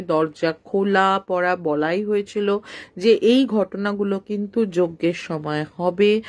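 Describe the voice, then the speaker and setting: A woman's voice: medium pitch, a little mellow. A woman narrating a ghost tale in an informal, unscripted way, conversational and mixing in some English words. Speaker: horror story narrator.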